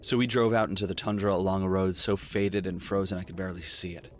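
An actor playing a world-weary, wry detective in a noir audio drama, brooding and sardonic. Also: male voice, deep voice, flat delivery, fast talker, a little hiss or hum, close to an everyday voice. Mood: uneasy, reflective. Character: world-weary, wry male detective narrating his story. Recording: faint music behind the voice.

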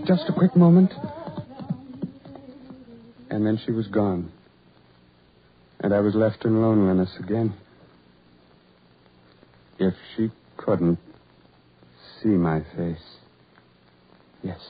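An actor playing a lonely, self-loathing ugly farm labourer in a 1940s radio horror drama, with halting, repetitive, brooding delivery. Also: male voice, on the low side, husky. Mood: obsessive, despairing. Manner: halting, repetitive, brooding, building to anguished outbursts. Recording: muffled, tinny 1940s radio recording.

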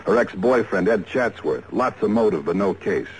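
An American man acting a weary, grumbling police detective in a 1950s radio drama, gruff, clipped and sardonic. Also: lowish voice, animated, moderate pace, lightly acted. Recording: noisy old radio recording.

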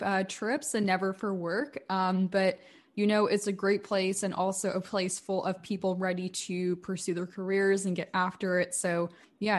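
A woman hosts a casual podcast chat, upbeat and eager as she asks her guest questions. Her voice sits at an ordinary middle pitch. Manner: upbeat and enthusiastic. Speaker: podcast host.

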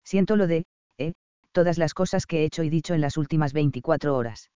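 A man narrating a first-person romance audiobook in a calm, even storytelling manner, in a slightly dull, dry recording. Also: a little high, a little fast, smooth, dry recording.

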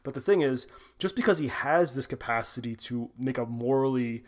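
A man's voice: medium pitch, normal speed. A man co-hosting a podcast, reasoning through theology in a casual, hedging way. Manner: thoughtful, hedging with fillers. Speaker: podcast co-host. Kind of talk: casual scholarly discussion.

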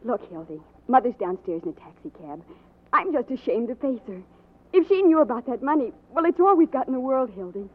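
An actress playing an anxious young fiancee, plaintive and nagging, in 1940s radio drama style. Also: female voice, a little high, very animated, brisk. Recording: dull, noisy 1940s radio recording.

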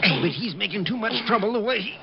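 An actor playing a gruff counterfeiter who runs a bar, menacing and sneering, on a noisy lo-fi old radio broadcast. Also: male voice, sits high, expressive, fast. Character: gruff older male counterfeiter bar owner.

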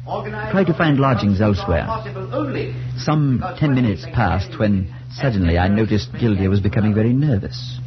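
A dull-sounding 1940s radio play carries a man acting a steady, worried friend telling an eerie tale. His voice sits low, earnest and firm, measured in the manner of old suspense drama. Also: a crowd in the background, natural voice. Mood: grave, measured. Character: steady, concerned male friend narrating a supernatural tale. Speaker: narrator and friend. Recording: muffled 1940s radio recording.

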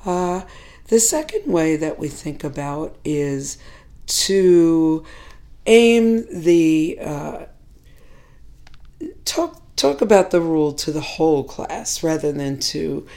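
A hoarse woman speaks in a low, mellow voice, measured and reflective as she talks fellow teachers through classroom ideas. She sounds middle-aged and pauses often to gather her thoughts. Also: animated. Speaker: teacher educator. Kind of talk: instructional talk to teachers.

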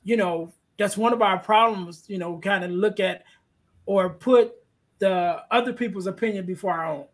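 A man with a light, high-pitched voice hosts a podcast in a casual, conversational way. He feels his way through sentences, stopping to hunt for the right word and dropping in "you know" often. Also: normal speed. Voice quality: light, soft-toned voice. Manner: hesitant, searching for words, often says "you know". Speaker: podcast host.

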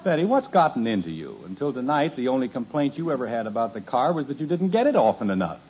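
A man's voice: mid-range voice, big pitch swings, fast, a little hiss or hum, lightly acted. An actor playing a patient, dry-witted middle-aged father in a 1950s radio comedy, with weary sarcasm. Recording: dull old radio transcription.

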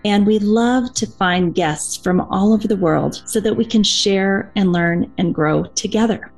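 A woman's voice: mid-range voice, vocal fry, a little mellow. A woman hosts a podcast in a mid-range voice. She delivers introductions and puts questions to her guest. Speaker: podcast host.

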